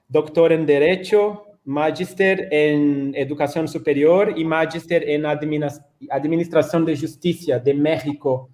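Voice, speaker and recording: A man's voice: fairly high pitch, darkish tone. Hesitant Brazilian man moderating in Spanish. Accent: Brazilian Portuguese. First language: Portuguese. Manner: hesitant, halting, with fillers. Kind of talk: panel introductions.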